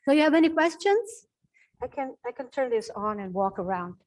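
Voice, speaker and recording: A woman's voice: high voice, expressive, brisk, darkish tone. Chatty woman, far from the mic. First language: Portuguese. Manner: chatty, many fillers and restarts. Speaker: book presenter and translator. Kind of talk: informal book launch talk. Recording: distant mic.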